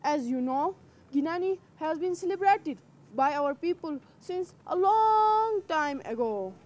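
A teenage girl playing a gracious young king in a school drama, speaking in slow, formal recited lines. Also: extremely high pitch, animated, a little mellow. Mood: regal. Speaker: student actor. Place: outdoor rooftop terrace in mountains.

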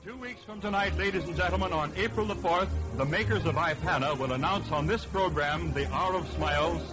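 A male 1930s radio announcer with a slightly high voice makes a formal announcement. It is an old broadcast recording, with music clearly behind him. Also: a little fast, rough recording.

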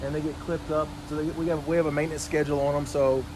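Male farmer, thirties, quick informal explainer, slightly high voice, some outdoor noise. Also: level tone. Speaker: farmer showing the farm. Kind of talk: casual explanatory chat on a farm tour. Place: outdoors and in greenhouses on a farm. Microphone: phone camera a step away. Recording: outdoor phone recording with background noise.

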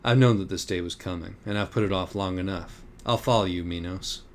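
A man's voice: low voice, brisk. A man voicing a solemn, resigned talking horse in an audiobook, grave and terse. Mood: grave resignation.

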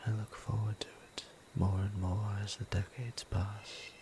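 A hushed, low male voice from an actor as an ancient vampire priest in an intimate audio roleplay. He speaks slowly and evenly, half whispering, with formal, tender care for the listener. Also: flat delivery, background noise. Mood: tender, softly awed. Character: gentle, courteous, centuries-old male vampire priest, tender caretaker.

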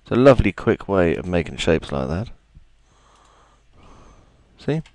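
A man with a gravelly, deep voice, chatting his way through a software tutorial with plenty of ums and asides. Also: sing-song, unhurried, dry recording. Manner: chatty, self-deprecating, thinks aloud with ums. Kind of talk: screen-recorded software tutorial.

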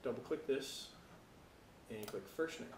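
An American man giving a casual software tutorial, talking informally and thinking aloud as he works. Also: mid-range voice, a little slow, echoey room, a little hiss or hum. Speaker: tutorial presenter.

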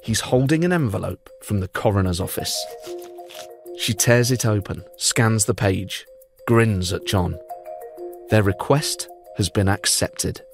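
Man narrating over low music. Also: unhurried, vocal fry. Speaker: podcast host and narrator. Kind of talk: scripted storytelling narration with banter.

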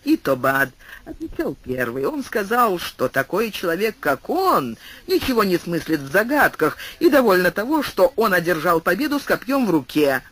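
A man narrating a literary audiobook in an expressive storytelling manner. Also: sits high, moderate pace.

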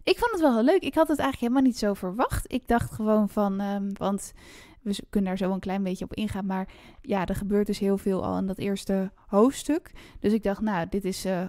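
A woman's voice: mid-range voice, brisk, hardly any pauses, mellow, no echo, clean studio sound. A woman co-hosting a podcast in a casual discussion about books. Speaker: podcast co-host.

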